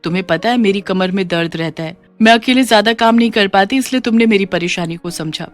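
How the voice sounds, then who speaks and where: A woman voicing a kind, considerate middle-class employer of a maid in an animated story, informal and instructing. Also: mid-range voice, brisk, faint music behind, close to an everyday voice. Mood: kind, reassuring.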